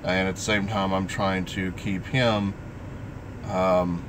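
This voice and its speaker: Middle-aged male vlogger, very low voice, halting and earnest personal talk, clear background noise. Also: level tone. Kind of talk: personal vlog talk, live stream.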